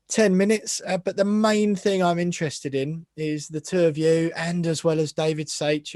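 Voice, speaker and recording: A man's voice: a little high, a little mellow, no echo, clean studio sound. A man hosting a casual football podcast, teasing his guests with long-winded, wry questions. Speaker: podcast host.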